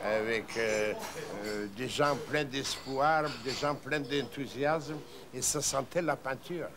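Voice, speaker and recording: Slow, halting older man. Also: medium pitch, a crowd in the background. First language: Russian. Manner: halting, repeats words, searching for phrases. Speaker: witness interviewed in a documentary. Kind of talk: unscripted reminiscence. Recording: loud background ambient noise.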